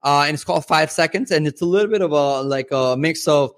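A man whose first language is Spanish, chatting as a podcast guest. He rambles, restarts and fills with "like" and "uh". His voice sits a bit high and the sound is dry and close. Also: brisk, no room sound. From Mexico. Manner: rambling, lots of fillers and restarts. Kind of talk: casual interview chat.

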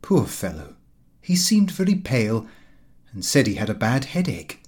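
A man reading a comic diary aloud in an expressive, theatrical way. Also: mid-range voice, normal speed. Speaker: audiobook narrator. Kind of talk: scripted literary narration of a comic diary.

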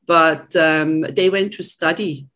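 A woman's voice: low voice, steady tone, slow, fairly bright tone. A woman, a podcast guest chatting casually with frequent restarts and fillers. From Switzerland. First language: German. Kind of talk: casual conversation.

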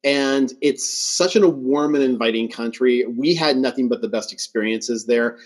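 A man's voice: medium pitch, normal speed. A man hosting a podcast in a conversational style, with a welcoming and appreciative manner. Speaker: podcast host.

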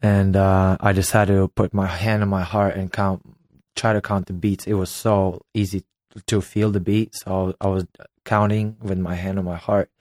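A man in his late twenties from Ukraine, telling his own story as a former competitive swimmer in a slow, halting way. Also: low voice, little pitch movement, a little mellow, dry recording, clean studio sound. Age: late 20s. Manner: unhurried, halting, recounting a memory. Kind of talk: personal storytelling.

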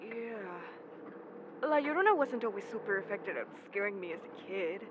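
A woman's voice: medium pitch, expressive, moderate pace, close to an everyday voice. A woman acting a nervous, earnest young man who speaks hesitantly in an audio drama. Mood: subdued. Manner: stammering, hesitant. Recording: muffled, vehicle noise behind.

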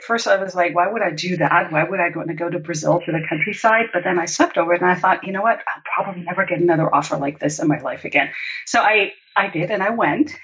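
A woman's voice: fairly low pitch, a bit bright. A woman from Germany, chatting casually and enthusiastically as a podcast guest. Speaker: podcast guest, food and lifestyle blogger. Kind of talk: casual interview storytelling. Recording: slightly dull, lo-fi audio.

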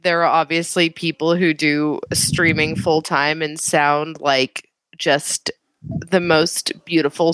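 A woman's voice: low-pitched, a bit bright, smooth, dry recording. A congested woman co-hosting a podcast from home over a gaming headset, chatting in a playful, sarcastic way. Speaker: podcast co-host. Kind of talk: casual chat. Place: remote recording from home.

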